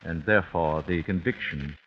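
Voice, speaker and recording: An actor playing a cold, composed older father bent on vengeance, speaking in formal, measured phrases in an old-time radio drama. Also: low-pitched, natural voice. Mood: grave menace. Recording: muffled, hissy old radio recording.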